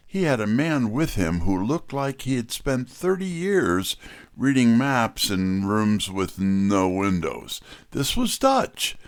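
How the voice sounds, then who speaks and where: A man plays a detective's wry right-hand man in an audio mystery. The voice sits fairly low and moves with lively melody, yet takes its time. The manner is businesslike and hard-boiled. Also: full character voice. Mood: narrating. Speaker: narrator and character actor. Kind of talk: first-person detective drama narration.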